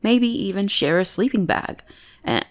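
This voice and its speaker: A woman's voice: medium pitch, expressive, a little slow, natural voice. A woman playing a bossy, teasing goth bully who is secretly smitten, in an intimate ASMR roleplay. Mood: exasperated. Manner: mocking, drawling, intimate teasing. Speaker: voice actor in audio roleplay.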